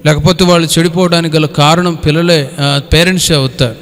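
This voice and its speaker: A male pastor delivers a Bible study sermon in a fairly high voice. He teaches didactically, repeating key phrases and questioning his listeners. His words ring out with the long reverb of a hall. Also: fairly even intonation, fairly quick, fairly bright tone, slight background noise.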